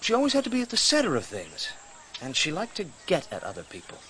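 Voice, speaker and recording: A man's voice: high voice, wildly swooping pitch, fast talker, a bit bright, heavily acted. A man playing a blunt, cynical expedition member in a radio drama, talking in a wry, offhand, sardonic way. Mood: wry, bitter.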